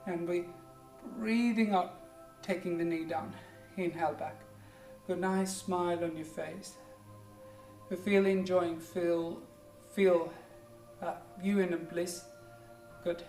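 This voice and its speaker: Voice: medium pitch, fairly even intonation, slow. Indian-accented yoga teacher in their forties. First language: Hindi or Punjabi. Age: 40s. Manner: gentle, encouraging, keeps saying "good", simple repeated cues. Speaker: yoga instructor. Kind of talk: guided yoga class with pep talk. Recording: echoey room, distant mic, faint music.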